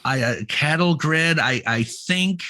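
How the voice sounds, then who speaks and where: A man co-hosting a podcast with casual comedic banter, often halting and repeating words as he explains things. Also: a little high, crowd noise. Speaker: podcast co-host.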